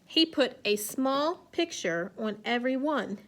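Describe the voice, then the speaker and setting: A woman's voice: high voice, lively intonation, measured pace, a bit bright. A woman speaking as an elementary teacher to young children in a read-aloud lesson, instructing in simple phrases and asking questions.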